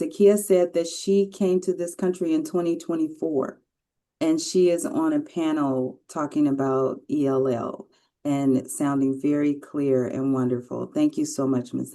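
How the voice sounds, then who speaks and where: A woman with a low, mellow voice moderates an online panel. She thanks each speaker in an encouraging way before she hands over to the next one. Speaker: webinar moderator.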